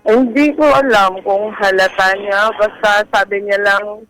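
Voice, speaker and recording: A woman's voice: medium pitch, steady tone, a little slow, fairly bright tone, low-quality recording. A woman with a Filipino accent telling a personal story as a guest, in a casual and hesitant style. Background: background music.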